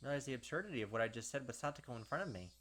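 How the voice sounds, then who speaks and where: A man's voice: mid-range voice, animated, racing, a bit bright. A young man hosting a gaming video, reading story text aloud with casual, filler-heavy asides.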